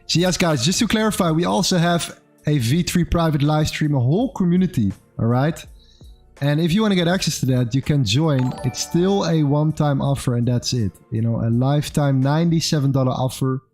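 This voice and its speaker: A man's voice: a little high, brisk, darkish tone, faint music behind. A man in his late twenties hosting a live trading stream, upbeat and casual, calling people bro and saying amazing a lot. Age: 20s. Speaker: livestream host and trader. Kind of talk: casual livestream chat and market commentary.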